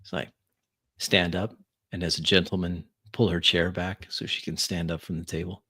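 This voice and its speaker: A man narrating his character's actions in first person during a tabletop roleplaying game, in deliberate, dramatic phrases. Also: deep voice, level tone, bright, forceful tone, breathy edge.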